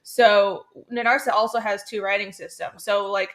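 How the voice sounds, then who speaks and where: A woman's voice: mid-range voice, fairly even intonation, normal speed, fairly bright tone. A woman who is a native Mandarin speaker, chatting casually as a guest comic creator on a livestream, explaining things with enthusiasm.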